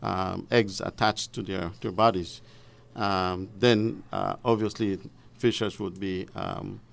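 A man giving formal, measured, halting explanations as an expert guest on radio. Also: low voice, fairly even intonation, gravelly. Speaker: fisheries officer, studio guest expert. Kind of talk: explanatory interview answers. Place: radio studio.

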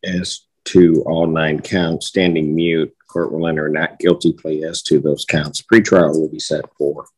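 Judge, American man, middle-aged, very deep rough-edged voice, formal and deliberate. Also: flat delivery, poor audio. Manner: measured, deliberate, official phrasing. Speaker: judge presiding over a court hearing. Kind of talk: formal courtroom rulings. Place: courtroom bench on a video call.

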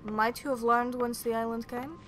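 A woman playing a shrewd, sharp-tongued shipwright with formal, slightly archaic phrasing in a fantasy drama. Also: on the high side, fairly even intonation, normal speed, over music, lightly acted. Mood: probing. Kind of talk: fan-made fantasy game drama acting.

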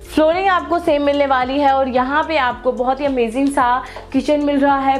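A young woman with a high, bright-sounding voice leads a property tour, gushing over every detail in an eager sales pitch. She walks through a furnished apartment as she talks, and soft music plays faintly underneath. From India. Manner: enthusiastic, gushing salesy praise. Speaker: real estate tour presenter. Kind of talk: property walkthrough presentation. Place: large furnished indoor apartment.

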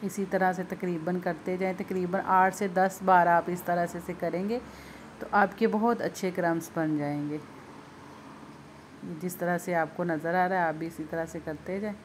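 A woman's voice: fairly low pitch, fairly even intonation, normal speed, slight background noise. A woman demonstrating a recipe step by step in her home kitchen, speaking patiently to viewers and repeating her instructions. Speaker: home cooking video host.

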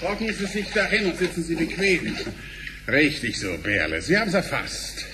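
An actor playing a brash, bossy company director in a radio drama, sardonic and barking. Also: male voice, on the high side, very animated, normal speed, very noisy. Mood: mocking. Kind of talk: German sci-fi radio drama acting.

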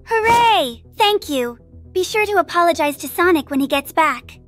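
A woman's voice: very high voice, expressive, normal speed, fairly bright tone, faint music behind. A woman voicing a sweet, earnest little rabbit girl with a childlike, pleading manner in a comic dub.

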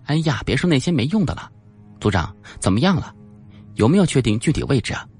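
A man voicing an eager, impatient young policewoman in an audiobook, in a brisk, insistent manner. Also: medium pitch, big pitch swings, darkish tone, breathy edge, soft background music, voice pushed up.

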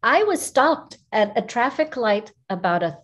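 A middle-aged woman whose first language is Arabic, telling personal stories as an interview guest in an expressive way, repeating phrases for emphasis. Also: a little high, unhurried. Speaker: podcast guest, image consultant. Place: small furnished room indoors, video call. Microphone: video call, seated at webcam distance.

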